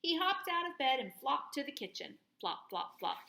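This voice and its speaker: Animated high-voiced woman reading a storybook. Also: moderate pace, creaky, reverberant, a little hiss or hum. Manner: animated, playful sound words. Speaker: children's read-aloud host. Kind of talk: expressive storybook narration.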